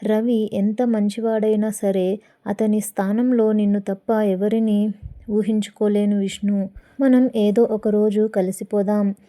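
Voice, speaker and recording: A woman's voice: mid-range voice, flat delivery, a little slow, warm tone, lightly acted. A woman with a Telugu accent voicing an earnest young married woman torn in love in an audio story. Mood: fervent.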